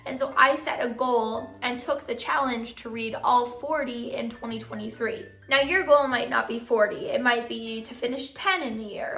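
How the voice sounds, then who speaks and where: A young woman vlogging casually to her viewers, giving friendly reading tips, her voice a bit hoarse from losing it. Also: on the high side, bright, forceful tone, roomy sound. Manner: friendly advice-giving, filler "like". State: partly lost voice, hoarse. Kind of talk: casual vlog talk to camera.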